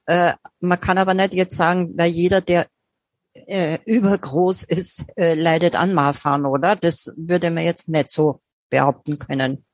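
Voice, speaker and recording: Interviewer: woman, lowish voice, hesitant questions, dry close sound. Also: steady tone, unhurried, no room sound. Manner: hesitant questions with many fillers and backchannels. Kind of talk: hosting an interview.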